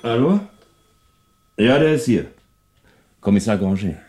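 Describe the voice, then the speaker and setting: An actor playing a police inspector's dry, keen subordinate in a German radio crime drama, matter-of-fact and speculative. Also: male voice, fairly low pitch, expressive, unhurried, a little mellow. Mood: businesslike. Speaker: police detective.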